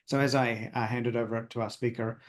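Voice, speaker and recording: A man hosting an evening talk, introducing the speaker politely and haltingly over a video call. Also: mid-range voice, steady tone. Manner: halting, polite, with frequent pauses. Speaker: host of a society talk. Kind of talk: formal introduction.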